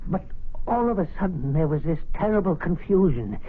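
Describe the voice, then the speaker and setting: An actor playing a doddering, deferential old club steward in a radio drama, hesitant and flustered. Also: male voice, fairly high pitch, big pitch swings, normal speed, soft background music. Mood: bewildered. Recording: tinny old radio broadcast.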